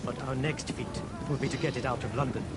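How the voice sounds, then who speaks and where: A man's voice: mid-range voice, fairly even intonation, fast, very noisy, lightly acted. A man playing a courteous, refined Indian maharaja in a video game, with an Indian accent and formal, gallant manners. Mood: composed, gracious. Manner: formal, polite, gallant turns of phrase. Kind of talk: video game cutscene acting. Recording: loud music behind the voice.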